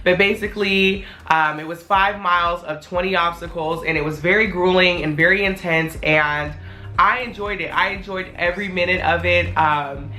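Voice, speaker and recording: A woman in her twenties talking casually to her viewers in a vlog, her voice a little hoarse as it returns, chatty and candid. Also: fairly low pitch, fairly even intonation, normal speed, pushed and bright. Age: 20s. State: hoarse, voice not fully back. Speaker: vlogger.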